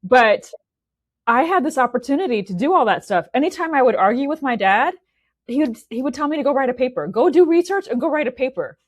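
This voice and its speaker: A woman's voice: sits high, very animated, a little fast, no echo, clean studio sound. A woman in her forties from Romania, talking casually as an interview guest, restarting sentences and tagging them with "okay?". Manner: chatty, many restarts and "okay?" tags.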